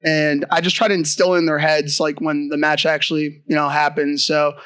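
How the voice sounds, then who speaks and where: The fairly high-voiced guy coach on a gaming round-table podcast, chatting through tactics in a very dry-sounding setup. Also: little pitch movement, normal speed, fairly bright tone, clean tone, no echo. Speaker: esports coach. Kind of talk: casual round-table discussion.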